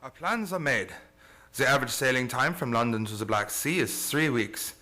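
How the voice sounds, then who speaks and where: An actor playing an urgent, commanding vampire-hunting doctor in a radio drama, with formal, insistent phrasing. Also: male voice, medium pitch, lively intonation, normal speed, sharp tone. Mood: determined. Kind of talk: old-time radio drama acting.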